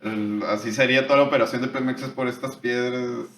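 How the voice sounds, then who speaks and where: A man's voice: mid-range voice, fairly even intonation, brisk, fairly bright tone, roomy sound. A young man from northern Mexico with a northern Mexican accent, co-hosting a casual podcast chat and explaining at length in heavy slang. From Tijuana, Mexico. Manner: slangy, explains at length, drops "güey" constantly. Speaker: podcast co-host.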